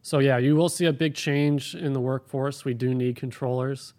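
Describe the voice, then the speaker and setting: A man's voice: mid-range voice, steady tone, moderate pace, darkish tone. A man who works as an air traffic controller, answering interview questions in a plain, practical, explanatory way.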